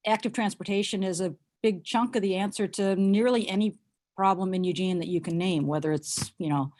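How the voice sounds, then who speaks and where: A woman in her sixties, a nurse near retirement, introducing herself on a committee video call in a wry, self-deprecating, halting way. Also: on the low side, a little mellow. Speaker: nurse and bike advocate.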